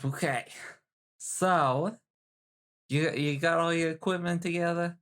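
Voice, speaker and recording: A woman's voice: fairly low pitch, fairly even intonation, takes it slowly, fairly bright tone. A woman improvising as a player in a tabletop role-playing game, with a flippant, slangy, hesitant way of talking. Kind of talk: casual improvised in-character chat.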